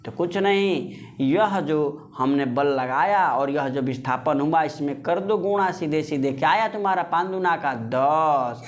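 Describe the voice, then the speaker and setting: A man's voice: a little high, measured pace. A man giving an informal physics lesson, repeating phrases and posing questions that he answers himself, addressing listeners as friends. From India. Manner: conversational, repeats phrases, poses and answers his own questions. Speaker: physics teacher.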